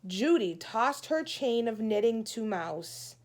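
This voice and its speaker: A woman narrating a children's storybook aloud in an expressive, deliberate way, with clearly phrased storytelling, like a teacher. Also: fairly high pitch.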